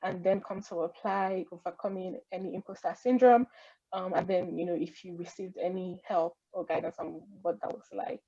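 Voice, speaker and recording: A woman hosts an online panel over a video call, speaking in an easygoing, rambling way full of fillers. Her voice is mellow and relaxed, and the call audio comes through dull and lo-fi. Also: lowish voice, normal speed, slightly rough. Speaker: panel moderator.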